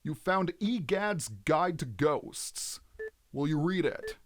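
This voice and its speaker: A man's voice: fairly high pitch, expressive, slow pace. A man giving video game let's play commentary in a theatrical, explanatory manner as the host. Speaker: let's player, host of the series.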